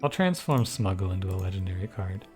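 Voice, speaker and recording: A man with a soft, soothing voice giving relaxed, thinking-aloud commentary over a strategy game. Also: a little fast, soft background music. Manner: low-key, musing, thinks aloud with frequent restarts. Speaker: gaming YouTuber and streamer. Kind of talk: casual gameplay commentary.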